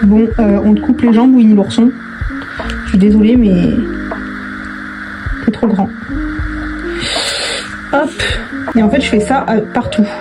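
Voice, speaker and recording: A woman talks casually to her viewers while working with her hands. Her pitch is average for a woman, and her delivery is informal and hesitant, with trailing phrases and repeats. Music plays clearly behind her voice. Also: measured pace, pauses often, poor audio. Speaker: video host doing a craft tutorial. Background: background music.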